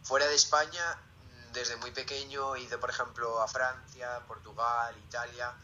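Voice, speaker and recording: Bright-voiced Spanish teenage boy. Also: mid-range voice, steady tone, moderate pace, dull audio, slight background noise. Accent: Peninsular Spanish. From Zaragoza, Spain. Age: late teens. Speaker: interviewee, student. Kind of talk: casual interview answers.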